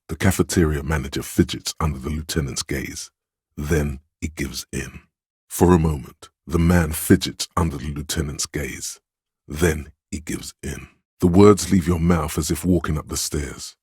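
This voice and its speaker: Very deep, commanding inner voice of authority. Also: male voice, a little mellow, slightly rough, no echo, studio quality, exaggerated voice. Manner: commanding, deliberate, imperious. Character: inner voice of Authority, proud, domineering male narrator. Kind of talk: scripted video game voice acting.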